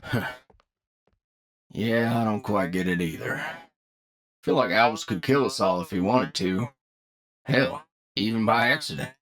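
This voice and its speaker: A man's voice: lowish voice, expressive, measured pace, pauses often, fairly bright tone. A man playing a wry, talkative bounty hunter team leader in an anime-style audio roleplay, with a casual, folksy manner. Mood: musing, reassuring. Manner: casual, folksy, hesitant asides. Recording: music behind the voice.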